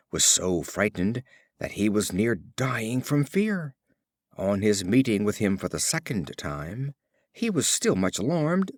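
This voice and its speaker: A man reads storybook fables in an unhurried, measured way. His pitch moves up and down in lively fashion, and the recording is dry and close. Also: no room sound. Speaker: audiobook narrator. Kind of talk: scripted storybook narration of fables.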